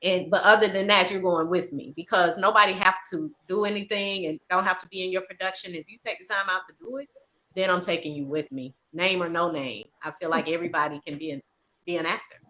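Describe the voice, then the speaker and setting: Woman panelist, bright forceful tone, colloquial and plain-spoken. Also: fairly low pitch, fairly even intonation, fast talker, poor audio. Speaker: stage play writer-director. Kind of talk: panel chat.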